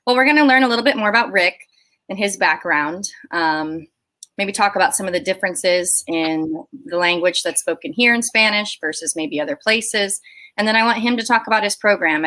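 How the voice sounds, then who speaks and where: A young woman hosts a live-stream interview. Her voice is bright and forward. She talks in an upbeat, chatty way. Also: on the low side. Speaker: host.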